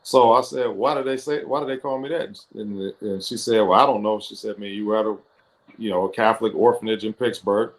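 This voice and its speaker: Low-voiced reflective man from Ohio. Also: steady tone, fairly quick. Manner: reflective, with frequent fillers and restarts. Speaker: guest football coach. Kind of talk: personal storytelling in an interview. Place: interview on a video call. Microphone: computer or headset microphone on a video call.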